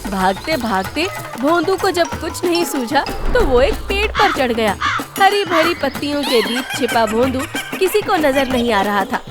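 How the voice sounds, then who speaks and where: A woman's voice: high voice, very animated, unhurried. A woman delivering scripted narration for a cartoon story. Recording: loud music behind.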